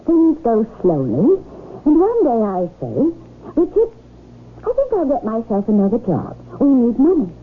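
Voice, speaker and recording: An actress playing a sharp-tongued, suspicious young English wife in a radio drama, speaking in a wry, pointed way. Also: female voice, fairly high pitch, huge pitch swings, unhurried, slightly rough, heavily acted. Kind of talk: old-time radio drama acting. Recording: tinny old radio broadcast, faint noise.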